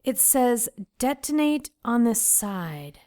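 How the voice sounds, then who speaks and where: A woman playing an orphanage caretaker in an audio drama, motherly and hushing but anxious and urgent. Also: fairly high pitch, very animated, slow. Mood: worried.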